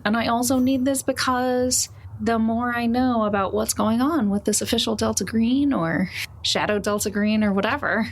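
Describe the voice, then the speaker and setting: A woman playing a tabletop roleplaying game, improvising in a loose, jokey, conversational way. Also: a little high. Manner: loose, jokey, prone to chuckling. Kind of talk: casual in-character improvised chat. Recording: faint background noise.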